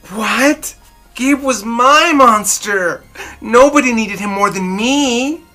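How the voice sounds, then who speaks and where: A woman storyteller reading a children's picture book aloud expressively, with dramatic, drawn-out emphasis. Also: on the high side, sharp tone, soft background music.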